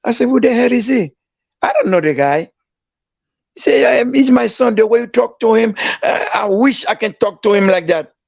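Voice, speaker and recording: Gravelly Haitian-accented man telling stories. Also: normal speed, no echo. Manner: animated storyteller, acts out quoted dialogue with raised pitch. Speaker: guest. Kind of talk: casual storytelling. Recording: dry, dull lo-fi.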